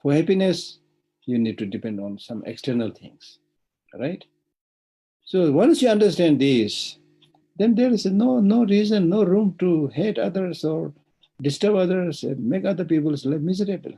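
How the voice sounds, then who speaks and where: A man's voice: high-pitched, expressive, moderate pace, mellow, husky. A man teaching Buddhism online, speaking earnestly, repeating phrases and often saying "you know". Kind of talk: dharma teaching.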